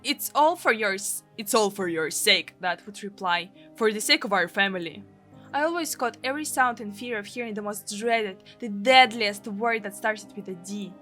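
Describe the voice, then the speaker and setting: A woman's voice: mid-range voice, moderate pace, bright, forceful tone, soft background music. A woman from Russia with a Russian accent, a gaming streamer expressively reading a translated visual novel aloud. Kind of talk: let's play, reading a live translation aloud.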